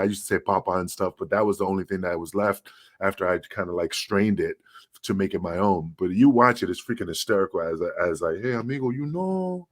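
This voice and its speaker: Deep-voiced profane man telling stories. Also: dry recording, clean studio sound. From Puerto Rican. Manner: profane, animated storytelling with laughs. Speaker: podcast co-host, former referee. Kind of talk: casual podcast chat.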